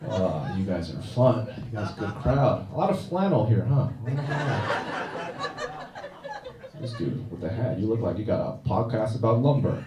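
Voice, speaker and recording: A man's voice: on the low side, big pitch swings, moderate pace, reverberant, distant mic, a crowd in the background. A man doing a stand-up comedy set with a dry, self-deprecating delivery and frequent "uh" restarts, recorded on a comedy club stage. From China.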